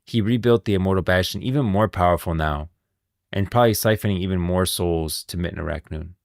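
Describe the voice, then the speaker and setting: Deep-voiced enthusiastic male streamer. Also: fairly quick, vocal fry, no echo, clean studio sound. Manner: enthusiastic, emphatic, slangy. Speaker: live streamer, lore commentator. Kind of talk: casual livestream game lore commentary. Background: music.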